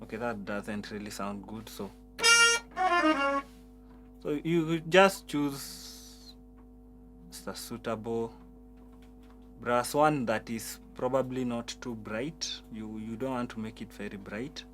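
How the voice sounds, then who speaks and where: A man's voice: medium pitch, takes it slowly, pauses often. A man teaching keyboard settings step by step, often repeating words as he talks. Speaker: piano instructor. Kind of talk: keyboard tutorial. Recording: keyboard music behind voice.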